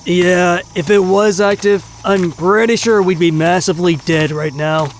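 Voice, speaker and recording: A man's voice: high voice, fairly even intonation, unhurried, a little hiss or hum. An actor playing a young cameraman sidekick in an audio drama, a dry know-it-all given to pedantic corrections. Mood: dry, deadpan.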